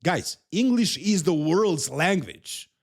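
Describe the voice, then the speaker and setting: A man's voice: high voice, expressive, takes it slowly, breathy edge. A man from Serbia with a Serbian accent, a native Serbian speaker, hosting a casual bodybuilding commentary and giving his emphatic, opinionated take with frequent repeats.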